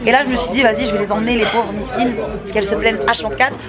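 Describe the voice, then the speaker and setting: A woman's voice: on the high side, fairly even intonation, racing, loud music behind the voice, a loud crowd. A young woman in her twenties, chatty and upbeat, vlogging casually with a camera held at arm's length. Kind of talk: casual vlog chat to camera. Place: busy restaurant, later a car.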